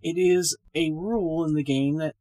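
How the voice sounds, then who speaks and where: A woman's voice: sits low, fairly even intonation, normal speed, mellow, no room sound. A woman reading a scripted ghost story aloud as the narrator. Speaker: story reader, podcast host.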